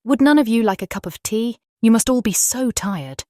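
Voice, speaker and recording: A gentle young woman speaks as if entranced, in slow, dreamy phrases performed by an actress. It is gothic audiobook drama, recorded very dry with no room around the voice. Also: on the high side, expressive, dry recording, lightly acted. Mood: sweet. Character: gentle young married woman in hypnotic trance.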